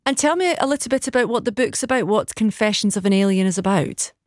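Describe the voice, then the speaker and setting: A woman's voice: mid-range voice, animated, fast, no echo. Female radio presenter, dry close sound. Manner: warm, hesitant questioning with restarts. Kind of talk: radio interview.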